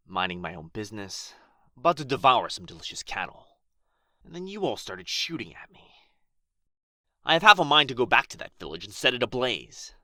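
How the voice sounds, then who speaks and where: A man acting a proud, wounded, menacing dragon in an ASMR roleplay, taunting theatrically with drawn-out words and whispers. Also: fairly low pitch, pushed and bright, no echo, studio quality, full character voice. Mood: indignant, defensive. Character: proud, menacing, wounded male dragon guarding his gold.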